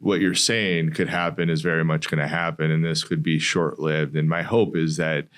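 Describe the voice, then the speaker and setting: A man's voice: very deep voice, flat delivery, normal speed, a bit bright. A man hosting a local podcast interview, talking earnestly with frequent fillers and restarts. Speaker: podcast host. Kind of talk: conversational interview.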